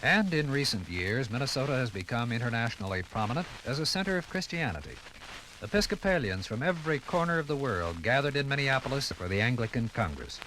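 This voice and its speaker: A man narrating a 1950s radio documentary from a script in a solemn, measured, stately manner. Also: medium pitch, lively intonation, bright, forceful tone. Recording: crackly 1950s radio record. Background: music.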